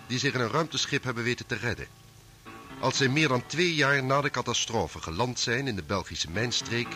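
A man's voice: mid-range voice, pushed and bright. A man narrating a scripted, dramatic recap for a radio drama serial. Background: music.

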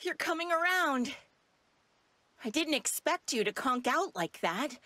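A woman's voice: high-pitched, animated, measured pace, a little hiss or hum, exaggerated voice. An actress playing an exasperated, bossy young woman in an anime video game dub, with a sassy, dramatic delivery. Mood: relieved, chiding. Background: loud music.

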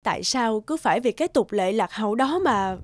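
A woman's voice: on the high side, lively intonation, fairly quick, slight background noise, close to an everyday voice. An actress playing an earnest, protective expectant mother in a cartoon, pleading as she speaks. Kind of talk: cartoon dubbing.

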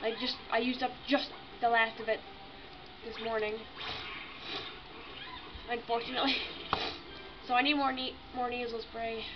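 A woman's voice: fairly high pitch, little pitch movement, normal speed, pauses often, over music. A young woman with a stuffy nose chatting casually and apologetically while playing a game. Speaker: gaming YouTuber. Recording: lo-fi home recording, noisy background.

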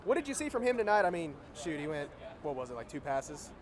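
A young man co-hosting a postgame sports recap, outdoors in a big stadium at night on a lapel mic, briskly leading the talk and asking questions. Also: fairly high pitch, sing-song. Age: young adult. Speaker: co-host and sports editor. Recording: stadium crowd noise behind.

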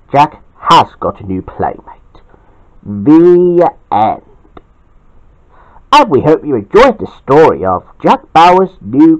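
An amateur storyteller and channel host reads at a slow pace, swinging widely in pitch. The delivery is halting, with drawn-out words and asides to the viewers. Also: darkish tone, low-quality recording.

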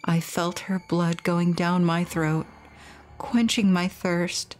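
A woman voicing a starving, guilt-ridden schoolchild reading out a confession in a horror game, deliberate and sorrowful. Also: lowish voice, flat delivery, faint music behind. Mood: numb confession.

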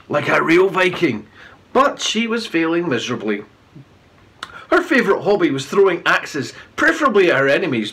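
A middle-aged man reads a children's story aloud. His voice is fairly high and bright with a husky edge, and he narrates in an animated storytelling style. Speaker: children's author reading aloud.

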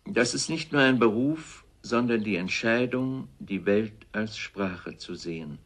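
A man who is a writer, formally delivering a measured statement on language. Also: on the low side, a bit bright, breathy edge. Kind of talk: measured formal reading of a speech.

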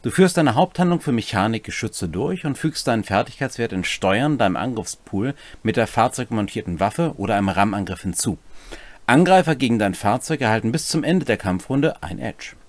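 A middle-aged man talks through game rules with a lively, swinging pitch. He mixes reading with chatty, casual asides, and the sound is close and dry. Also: no room sound. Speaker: co-host explaining tabletop game rules. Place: small home room, indoors.

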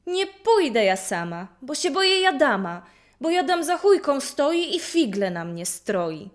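A woman's voice: high voice, very animated, measured pace. A woman playing a costumed village lad who recites a comic folk rhyme in a rhythmic, chanting way. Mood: playful.

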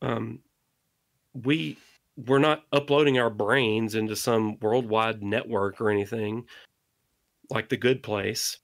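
A man's voice: on the low side, fairly even intonation, slow, vocal fry, no echo, studio quality. A middle-aged man speaking as a podcast guest, earnestly urging listeners to keep creating. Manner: impassioned, exhorting listeners. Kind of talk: earnest interview monologue.

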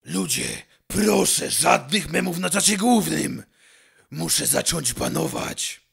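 A man playing a grumpy, self-important masked vigilante in a comedy fan dub, gruff and indignant. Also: a little high, slow, heavily acted. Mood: irritated. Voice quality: gravelly, forceful.

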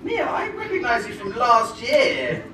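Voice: medium pitch, big pitch swings, measured pace. A playful, jokey pantomime performer hosting audience banter from a theatre stage. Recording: roomy theatre, distant mic, crowd and music. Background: audience noise and music.

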